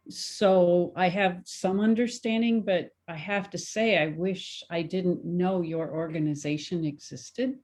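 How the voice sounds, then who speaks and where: A woman's voice: lowish voice, darkish tone. An older woman, a playwright, talking casually in a discussion, plainspoken and appreciative. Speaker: playwright, retired English teacher.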